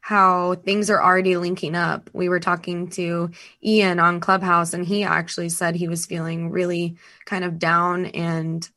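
A woman with a raspy voice, chatting enthusiastically as a podcast guest and laughing often. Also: fairly low pitch, little pitch movement, unhurried, few pauses, pushed and bright. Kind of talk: casual podcast chat.

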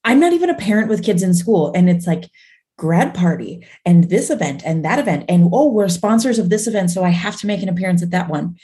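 A woman's voice: on the low side, expressive, a little fast, warm tone. A young adult woman co-hosting a fitness podcast, talking casually with lots of fillers and restarts. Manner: chatty, full of fillers and restarts. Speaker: podcast co-host. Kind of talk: casual chat.

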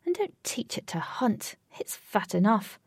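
A woman's voice: mid-range voice, sing-song, measured pace, breathy edge, dry recording, whispered, clean studio sound, heavily acted. A woman voicing a grumpy, impatient, drily sarcastic male storybook character who speaks curtly and formally. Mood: disdainful. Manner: curt, disdainful, formal wording.

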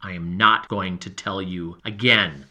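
A man's voice: medium pitch, extremely slow, sharp tone, full character voice. A man voicing a tough girl who snaps fiercely at a boy in an audiobook. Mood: angry. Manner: hard-edged snaps.

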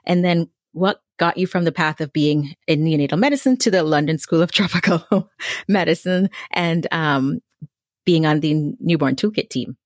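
A woman's voice: low-pitched, normal speed, no room sound, studio quality. A woman podcast host asking guests questions in an upbeat way, laughing easily. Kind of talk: interview questions.

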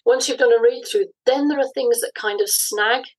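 A woman's voice: fairly high pitch, moderate pace, breathy edge. A woman in her sixties speaking as an interview guest, reflecting and explaining thoughtfully in a conversational way. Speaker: interview guest, audio drama writer.

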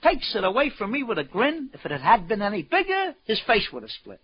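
An actor playing a slangy, streetwise auctioneer in a 1940s radio crime drama. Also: male voice, high voice, wildly swooping pitch, a little fast, husky, dry recording, exaggerated voice. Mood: wry, animated. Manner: colloquial slang, calls people sweetheart. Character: slangy, wisecracking Broadway auctioneer, streetwise man. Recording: dull, lo-fi old radio recording.

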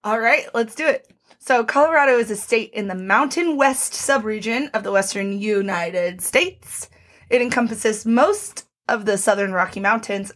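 A woman with a slightly hoarse voice, co-hosting a casual podcast, joking with puns and reading out background facts. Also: mid-range voice, a bit bright. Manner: playful, punning, reads lists of facts aloud. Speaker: podcast co-host.

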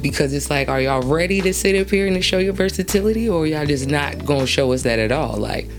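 A woman's voice: deep voice, lively intonation, fast talker, faint music behind. A woman hosting a casual interview, chatty and slangy, asking long, rambling questions and laughing easily. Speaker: interview host.

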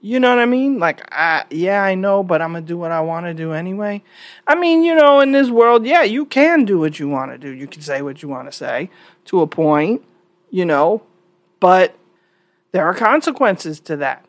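A woman's voice: fairly low pitch, sing-song, moderate pace, dry recording. A woman from New York with an American accent, giving casual opinion commentary in an emphatic, conversational, questioning way. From New York, United States. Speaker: online commentator.

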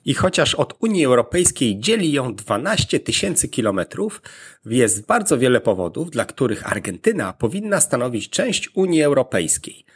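Guy narrating an explainer, kinda raspy, very animated pitch, goes point by point. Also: normal speed. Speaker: presenter.

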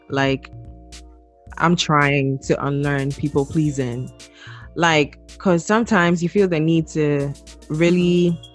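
A young woman from Ghana co-hosting a podcast, talking in a relaxed, chatty way with plenty of fillers. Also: sits low. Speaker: podcast co-host. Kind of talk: casual chat.

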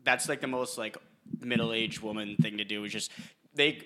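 Rambling young man with a bright voice. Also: lowish voice, normal speed. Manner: rambling, many fillers and restarts, dry jokes. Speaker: podcast co-host. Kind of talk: casual chat.

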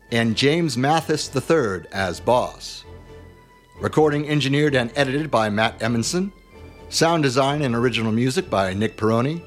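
A man reading scripted credits as an announcer. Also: medium pitch. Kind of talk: scripted narration of podcast credits. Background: music.

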